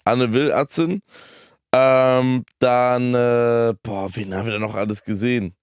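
A man's voice: mid-range voice, steady tone, unhurried, clear, resonant tone, no room sound, studio quality. A young man in casual conversation as a podcast co-host, talking bluntly in youth slang. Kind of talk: casual chat.

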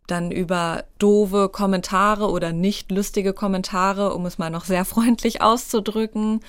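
A woman's voice: medium pitch, steady tone, unhurried, smooth, no echo. A young woman, a rabbinical student, answering interview questions in an earnest, articulate way. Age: young adult. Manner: earnest, articulate, emphatic at times.

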